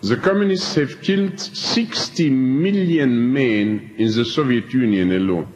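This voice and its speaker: An older man, speaking slowly and emphatically as he recounts his prison experience to an audience. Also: medium pitch, a little mellow, slight background noise. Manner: slow, deliberate, emphatic phrasing with pauses. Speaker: preacher telling his prison story. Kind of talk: slow spoken testimony to an audience. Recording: dull lo-fi archive tape in a reverberant hall.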